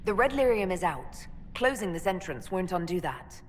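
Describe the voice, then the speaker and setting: An actress voicing a composed, probing leader in a video game, direct and measured, firm but fair. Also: female voice, on the low side, sing-song. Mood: questioning, stern. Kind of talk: video game dialogue acting. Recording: long hall reverb, faint ambient noise.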